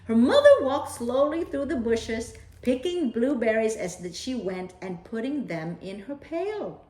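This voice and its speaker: A woman's voice: a little high, big pitch swings, unhurried, a little mellow. A woman storyteller reading a children's picture book aloud. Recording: roomy echo.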